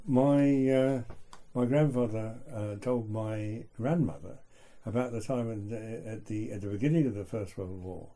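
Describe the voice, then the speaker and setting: A man's voice: fairly low pitch, measured pace, hardly any pauses, warm tone. A man conducting a local radio interview in a reflective, hesitant way.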